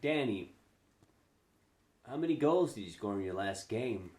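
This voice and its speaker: An actor playing a cryptic, probing stranger who talks in riddles with a theatrical, taunting manner. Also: male voice, lowish voice, lively intonation, unhurried, darkish tone. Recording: echoey, distant, noisy room.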